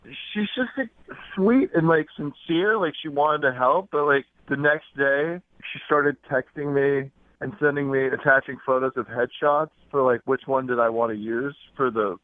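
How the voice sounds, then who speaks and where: A man's voice: medium pitch, normal speed, no echo. A man chatting casually and hesitantly as a radio show caller, peppering his talk with "like", over a phone line.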